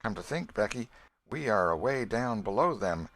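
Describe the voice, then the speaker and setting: A man's voice: fairly low pitch, big pitch swings, moderate pace, fairly bright tone. A man voicing an adventurous small boy in a storybook, folksy and reassuring but unsure. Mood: uncertain. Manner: folksy, colloquial.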